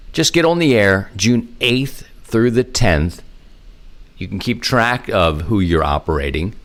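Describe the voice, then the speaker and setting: A man speaking as a podcast host in an informal, conversational way, making announcements to hobby listeners and often posing questions and answering them himself. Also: fairly low pitch. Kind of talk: casual announcement to amateur radio listeners.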